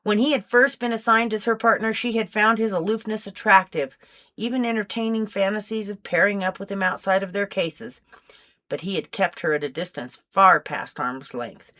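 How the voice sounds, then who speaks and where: A woman narrates a novel chapter as the author reading her own work. Her mid-pitched voice is bright and forceful, in a scripted storytelling style.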